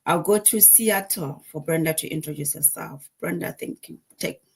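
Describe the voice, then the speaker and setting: A woman from Botswana hosting a live stream over a video call, hurried and halting with many fillers. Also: low voice, gravelly, breathy edge. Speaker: host, digital business mentor.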